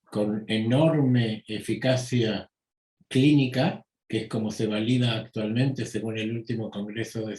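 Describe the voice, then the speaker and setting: An older man with an Argentine Spanish accent, a psychoanalyst answering interview questions slowly and deliberately, with frequent pauses and fillers. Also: fairly low pitch, mellow, echoey room, low-quality recording. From United States. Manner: slow, measured, with many pauses and fillers. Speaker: psychoanalyst guest.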